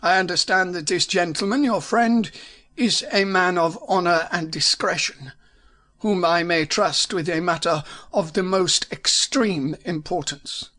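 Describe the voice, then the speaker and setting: A man's voice: high-pitched, measured pace, sharp tone, voice pushed up. A man voicing a masked Bohemian nobleman who is formal and commanding, with a German accent. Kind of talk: audiobook character voice.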